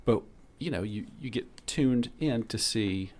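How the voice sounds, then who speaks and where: A man's voice: mid-range voice, lively intonation, measured pace, darkish tone. A man hosting a podcast who asks thoughtful, curious questions in a casual interview. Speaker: podcast host.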